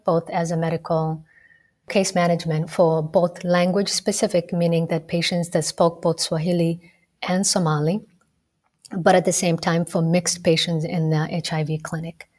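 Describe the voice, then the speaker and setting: A woman from Kenya with a fairly low voice talks through her answers in a measured, explanatory way. She is a medical student in her thirties, giving an interview and pausing now and then on small fillers. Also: a little mellow. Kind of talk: radio interview conversation.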